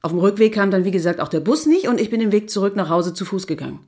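A woman's voice: mid-range voice, expressive, fast, darkish tone, natural voice. A woman playing a wife in an audio drama, conversational and matter-of-fact, slightly teasing as she recounts her day.

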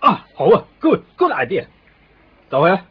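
Overdramatic Hong Kong policeman, officious, high voice, husky, radio comedy. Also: huge pitch swings, moderate pace, heavily acted. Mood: casual. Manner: officious, melodramatic, peppered with English words. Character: excitable, self-important male police constable, overdramatic and bumbling. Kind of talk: comic radio drama acting. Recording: dull lo-fi radio drama recording.

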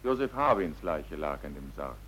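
A man's voice: rumbling low, very animated, normal speed, a bit bright, full character voice. A man playing a stern, sardonic military police major in an old German radio play, curt and commanding. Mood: pressing. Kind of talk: mid-century German radio play acting. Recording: old radio recording, faint noise.

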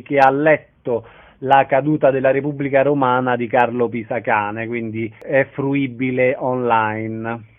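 A man hosting a radio theatre programme, speaking in a courteous, measured announcer manner. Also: mid-range voice. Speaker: radio theatre show host. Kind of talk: radio interview and chat. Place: radio studio. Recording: narrow phone-like radio audio.

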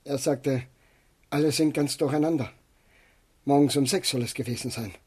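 An actor playing a distraught, deferential old manservant with a husky voice in a German radio drama. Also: male voice, mid-range voice, normal speed, darkish tone, close to an everyday voice. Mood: shaken, reporting. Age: older. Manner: deferential, agitated. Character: distraught old manservant bringing grim news.

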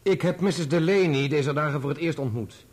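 A man's voice: on the high side, a little fast, close to an everyday voice. An actor playing a proud, indignant man testifying in a radio play, answering formally and defensively. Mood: guarded, firm. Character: indignant, proud adult son testifying in court. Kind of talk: radio play courtroom acting.

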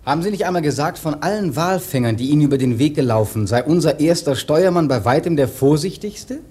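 An actor playing a sailor who recounts a perilous whale hunt, narrating emphatically and theatrically in a husky voice for a radio play. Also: male voice, on the high side, animated, fairly quick, mellow. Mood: wry, incredulous. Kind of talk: dramatic first-person radio play narration. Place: dry studio.

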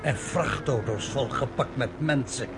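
An actor playing an emotional, proud Cypriot shipowner in a radio play, with a husky, theatrical delivery. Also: male voice, medium pitch, a little slow, noisy background. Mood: hospitable. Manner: theatrical, indignant, swings between soft and loud.

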